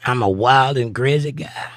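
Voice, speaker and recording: A man's voice: mid-range voice, moderate pace, fairly bright tone, no room sound, studio quality. A man with a Southern accent hosting a livestream, reading viewer comments in a casual, teasing way and chuckling often. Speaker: livestream host reading chat. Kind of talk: casual chat.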